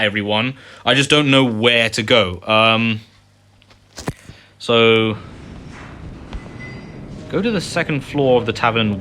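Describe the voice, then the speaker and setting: A man's voice: on the low side, pushed and bright. A man giving casual, self-deprecating commentary as he plays through a video game. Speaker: gamer, channel host. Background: game music.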